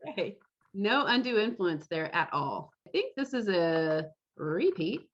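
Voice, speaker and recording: A woman's voice: medium pitch, big pitch swings, unhurried, lo-fi sound. A woman casually hosting an online group meeting, chatting and reacting to guests over a video call. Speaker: host of an online fan club meeting.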